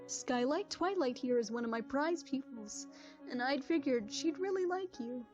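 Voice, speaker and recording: A calm, regal pony princess from a fan cartoon audio drama, voiced by a woman, high-pitched yet mellow. She speaks gently and reassuringly. The recording sounds slightly dull and lo-fi, with faint music underneath. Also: normal speed.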